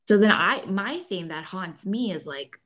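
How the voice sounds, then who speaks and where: A woman's voice: medium pitch, expressive, a little slow, fairly bright tone. A young woman co-hosting a podcast, chatting in an earnest, agreeable way and backing up her partner often. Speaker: podcast co-host. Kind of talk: casual chat.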